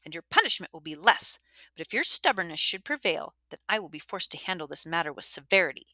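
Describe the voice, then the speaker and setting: A woman's voice: on the low side, lively intonation, quick pace, sharp tone, dry recording. A woman voicing a severe, angry dormitory matron, speaking sharply and stiffly in commands. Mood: stern, demanding.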